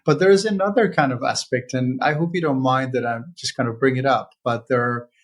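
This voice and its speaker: A man hosts a conversation, his voice husky and slightly breathy. He talks earnestly and haltingly, circling back with fillers and restarts as he works through his thoughts. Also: medium pitch. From Czech Republic. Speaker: veterinarian podcast host. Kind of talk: casual interview conversation.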